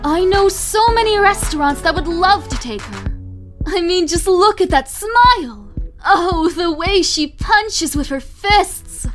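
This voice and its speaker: Woman acting gushing high-pitched glamorous flirt. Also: animated, fairly bright tone, exaggerated voice. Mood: amused, admiring. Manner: effusive, teasing, drawn-out flourishes. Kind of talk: audio drama acting. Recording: music under the voice.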